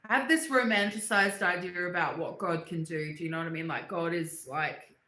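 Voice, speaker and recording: A woman's voice: lowish voice, fairly quick, bright, forceful tone, slight background noise. A woman hosting a casual livestream, rambling through personal stories and answering viewers' comments, with English as her second language. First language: not English. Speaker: livestream host reading chat. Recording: echoey room.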